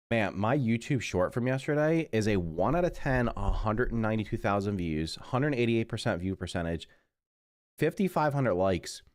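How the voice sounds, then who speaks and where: A middle-aged man with a deep, congested voice chats casually to his livestream audience. He rambles and trips over his own sentences. The sound is dry and close, with no room around it. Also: no echo. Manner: rambling, self-correcting, mutters while busy. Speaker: livestreaming gamer. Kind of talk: casual live stream chat.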